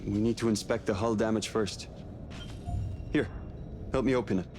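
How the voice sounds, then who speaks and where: An actor playing a steady, by-the-book spaceship crewman in a sci-fi game, measured and procedural. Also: lowish voice, over music, lightly acted. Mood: focused, serious. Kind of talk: video game voice acting, sci-fi horror.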